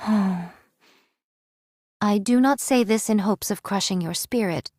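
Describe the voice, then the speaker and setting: A woman's voice: mid-range voice, slow, a little mellow, no echo, studio quality. An actress playing a proud, weary android swordswoman in a game drama, with formal, archaic and haughty diction. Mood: grave.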